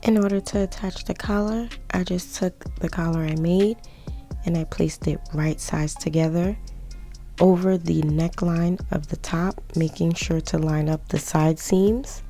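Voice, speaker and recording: A young woman with a hoarse, gravelly low voice talks through her sewing steps in a casual voiceover. Her tone is dark and relaxed, and she rambles a little and pokes fun at herself. Faint music plays underneath. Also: unhurried. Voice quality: raspy, strained low voice. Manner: rambling, self-mocking. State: hoarse from projecting through a mask. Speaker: sewing tutorial creator.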